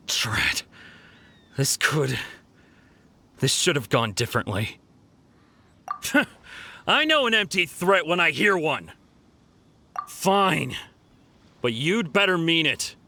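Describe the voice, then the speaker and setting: A bright, tense young male thief, pitched a little high and swinging widely as he blusters. He sounds cocky yet cowardly, a dramatic game character with faint music behind. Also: big pitch swings, extremely slow, gravelly, long pauses, heavily acted. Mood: defiant. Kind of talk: video game character acting.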